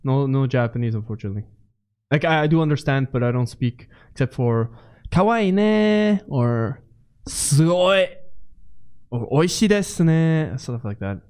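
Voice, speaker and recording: A man's voice: mid-range voice, expressive, measured pace, a little mellow, clean tone. A man co-hosting a casual podcast, enthusiastic and rambling, laughing often. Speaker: podcast co-host. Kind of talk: casual chat.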